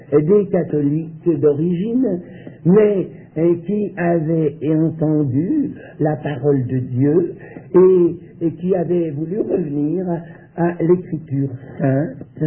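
Slow-preaching man on a tinny line. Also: a little high. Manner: measured, with emphatic pauses and rhetorical questions. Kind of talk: sermon.

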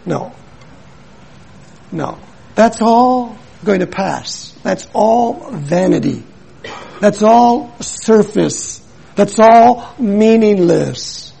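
An older man delivers a sermon with a gravelly, husky voice. He is earnest and emphatic and hammers his points home through repetition and anecdote. His speech rises high and swings widely in pitch. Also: lively intonation, extremely slow, mellow. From Milwaukee, USA. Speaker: preacher.

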